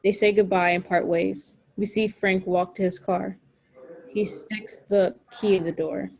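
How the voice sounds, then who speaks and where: A woman's voice: mid-range voice, steady tone, unhurried, gravelly, a little mellow. A woman reading stage directions and scripted narration for a table read held on a video call. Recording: noisy online call audio.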